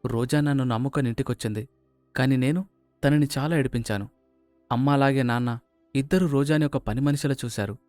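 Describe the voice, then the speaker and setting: A man's voice: mid-range voice, fairly quick, a little mellow, dry recording, soft background music, natural voice. A man voicing an earnest, remorseful young husband in a family moral story.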